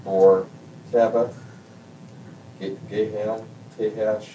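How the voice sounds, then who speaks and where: A man in a study group reading scripture aloud, stumbling haltingly over the hard names. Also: little pitch movement, mellow. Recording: distant mic with low rumble.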